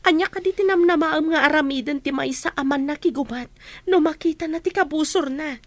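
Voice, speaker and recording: Radio drama student, played by a woman: young, earnest, secretive, high voice, close dry sound. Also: a little fast, no room sound, lightly acted. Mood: uneasy. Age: young adult.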